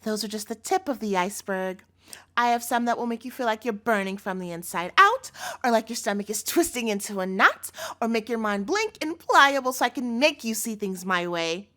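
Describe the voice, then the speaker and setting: A woman's voice: fairly high pitch, lively intonation, normal speed, pushed and bright. A woman playing an obsessive, doting captor girlfriend in a scripted audio roleplay, speaking directly to the listener in a cutesy tone with menace in it. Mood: angry, threatening.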